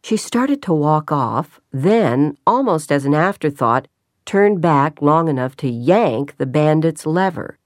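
A woman reads a novel aloud in a low, unhurried voice. Her delivery is measured and steady. The recording is dry and close, with no room sound. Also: no echo. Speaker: audiobook narrator. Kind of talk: scripted narration.

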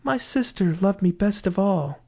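Casting: a man doing an eerie fairy-tale songbird, chanting high and sing-song. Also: animated, moderate pace, vocal fry, a little mellow, full character voice. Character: magical songbird, eerie and accusing.

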